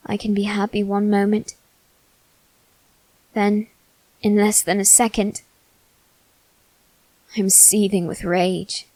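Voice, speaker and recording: A woman voicing a melancholy teenage noble boy, resigned to death, in a soft, deliberate ASMR roleplay monologue with dramatic pauses. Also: medium pitch, little pitch movement, no room sound, natural voice, rough recording. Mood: pleading, regretful.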